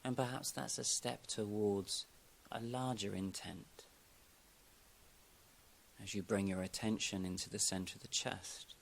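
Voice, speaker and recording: A man with a deep voice and a marked creak leads a guided meditation. He gives gentle, calm instructions in the manner of a yoga teacher. His phrases are unhurried, with long pauses left between them. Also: a little hiss or hum.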